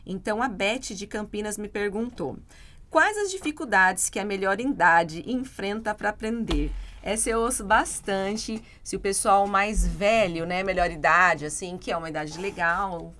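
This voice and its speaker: A woman's voice: mid-range voice, moderate pace, fairly bright tone. Co-host: middle-aged brazilian woman, earnest, reflective, faint background hiss. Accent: Brazilian Portuguese. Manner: earnest, reflective, addresses listeners directly. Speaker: English teacher, podcast co-host. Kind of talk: casual chat.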